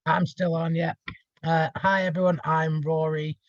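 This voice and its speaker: Flat-voiced man. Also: a little high, a little slow, dry recording. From Yorkshire, England. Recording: dull, dry call audio.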